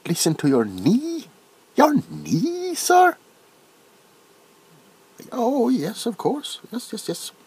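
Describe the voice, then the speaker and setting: A middle-aged man playing a nervous, bewildered, awkwardly polite doctor in a soft-spoken comedic ASMR roleplay, stammering and repeating himself. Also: extremely high pitch, wildly swooping pitch, slow pace, pauses often, slightly rough, voice pushed up, full character voice. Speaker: ASMR performer.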